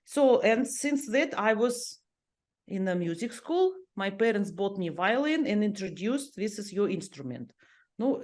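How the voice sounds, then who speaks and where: A Russian-born woman, a violinist and music teacher, speaking English as a second language with a Russian accent, pausing often as she searches for words. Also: medium pitch, darkish tone. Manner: hesitant, searching for English words, many pauses. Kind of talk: personal interview talk.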